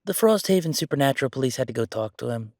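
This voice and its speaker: A man's voice: mid-range voice, fast talker, dry recording, natural voice. Man playing a playful, chatty ghost roommate, dry close sound. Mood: chatty, relaxed. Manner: excitable, chatty, joking, hesitating conversationally. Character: friendly male ghost roommate, playful, mischievous, chatty jokester. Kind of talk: casual roleplay audio drama.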